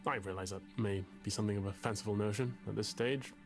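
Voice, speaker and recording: A man's voice: lowish voice, steady tone, fast talker, soft background music, natural voice. An actor playing a pompous, anxious, lovesick male literature student in a video game, speaking in a verbose, formal and hesitant way. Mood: earnest, anxious. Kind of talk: visual novel game voice acting.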